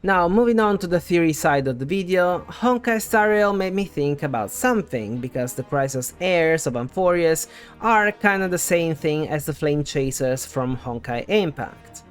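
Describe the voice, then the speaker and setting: A man's voice: a little high, lively intonation, normal speed, clear, resonant tone. A man presenting scripted game theory commentary in a conversational, explanatory way, as the host of a video essay. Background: background music.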